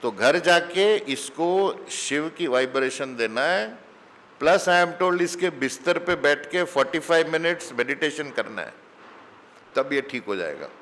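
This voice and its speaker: A man's voice: on the high side, expressive, fairly bright tone. A middle-aged man giving a conversational sermon full of anecdotes, slipping English phrases into his talk. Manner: slow, mixes English phrases into Hindi. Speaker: spiritual teacher giving satsang. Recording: long hall reverb.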